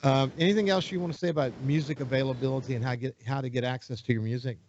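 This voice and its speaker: Brief: hoarse male radio host, rambling and relaxed, dull lo-fi sound with faint hiss. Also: mid-range voice, very fast. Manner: relaxed, rambling, self-correcting. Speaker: radio talk show host. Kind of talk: casual interview.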